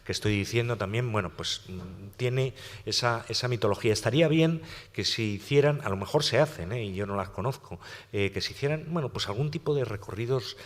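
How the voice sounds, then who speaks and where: A man with a fairly low voice, musing aloud at a round-table discussion. He circles his thoughts with restarts, and the sound carries a long hall reverb. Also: fairly quick. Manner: reflective, rambling with restarts. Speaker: panelist writer. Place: lecture hall at a public panel.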